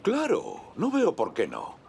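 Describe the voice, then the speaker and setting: A man voicing a gentle, encouraging old grandfather in a children's cartoon, dubbed in Castilian Spanish. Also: high voice, huge pitch swings, measured pace, vocal fry, full character voice. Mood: cheerful, proud. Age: older. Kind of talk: animated children's cartoon dubbing.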